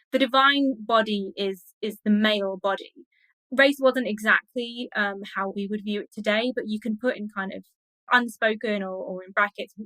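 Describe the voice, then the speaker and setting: A woman's voice: medium pitch, sharp tone, no echo, poor audio. A woman speaking as a scholarly interview guest, explaining in an academic, emphatic way.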